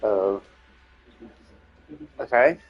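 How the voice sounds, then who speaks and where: A man's voice: fairly low pitch, lively intonation, very slow, breathy edge, dull audio. A man streaming a game live with casual commentary, thinking aloud tentatively and correcting himself as he goes.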